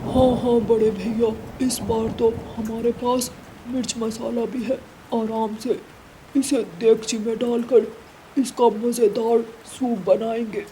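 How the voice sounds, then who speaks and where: A woman's voice: a little high, little pitch movement, slow pace, mellow, a little hiss or hum, rough recording. A woman voicing greedy, bullying male owl villains with a gloating, boastful manner.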